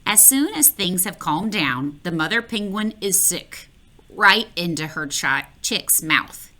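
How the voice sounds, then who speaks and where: A woman reading a picture book aloud for children, playful and expressive, talking straight to the listener. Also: fairly low pitch, slow pace. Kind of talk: read-aloud storytelling for children.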